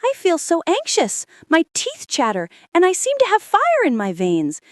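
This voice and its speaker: A woman's voice: very high voice, huge pitch swings, moderate pace, no room sound, studio quality, pitched above the performer's own voice, exaggerated voice. A woman voicing a wicked stepmother who speaks anxiously, full of dread. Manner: anxious, trembling. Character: guilty, fearful wicked stepmother.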